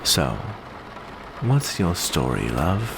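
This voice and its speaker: A man playing a genteel male vampire who addresses the listener with endearments in a soft, close ASMR roleplay monologue. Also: low voice, exaggerated voice. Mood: gentle, courteous. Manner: unhurried, genteel, uses endearments. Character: courteous, smooth male vampire host with hidden predatory menace. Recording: clear steady background noise.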